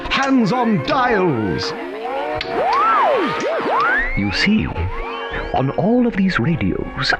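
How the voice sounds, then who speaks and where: An actor playing a whimsical, wistful storyteller narrating a radio fable theatrically, with deliberate dramatic pauses. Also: male voice, medium pitch, muffled recording. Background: prominent music.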